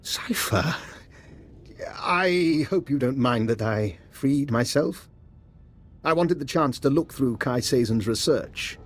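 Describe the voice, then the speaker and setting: A man acting a scheming scientist doctor in a video game, polite, formal and evasive, with a husky voice. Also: medium pitch, animated, takes it slowly. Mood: politely amused. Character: smooth-talking, scheming male scientist doctor, coolly amused.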